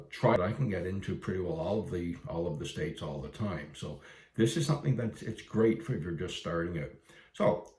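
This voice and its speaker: A Canadian man with a low voice explains things casually to beginners. He is plain-spoken and instructive. The room adds noticeable echo to his speech. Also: a little mellow. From Ontario, Canada. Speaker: amateur radio video presenter. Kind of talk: casual explainer for new hobbyists.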